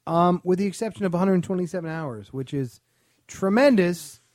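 A podcast co-host, a man, speaks in a high register. His banter is casual, blunt and crude, full of joking. The recording is dry and free of room sound. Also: animated, moderate pace, a little mellow, no echo. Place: small podcast studio.

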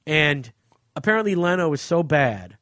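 A man with a fairly high voice talks in a dry, close recording. He chats casually like a podcast co-host, giving blunt and emphatic opinions with plenty of swearing. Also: sing-song, moderate pace, a bit bright, dry recording. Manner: emphatic, frequently profane. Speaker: podcast co-host reviewing a book.

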